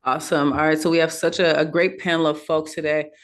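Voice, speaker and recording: A woman's voice: low-pitched, little pitch movement, fast talker. A woman hosting a panel over a video call, upbeat and starting her sentences with "now". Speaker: host.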